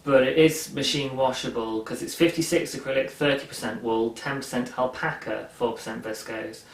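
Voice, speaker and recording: A man's voice: medium pitch, fairly even intonation, moderate pace, creaky, far from the mic. A young man hosting a knitting video podcast from his sofa, chatting in a rambling, self-amused way with plenty of ums. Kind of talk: casual chat. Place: living room, seated on a sofa. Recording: echoey room, voice slightly off-mic.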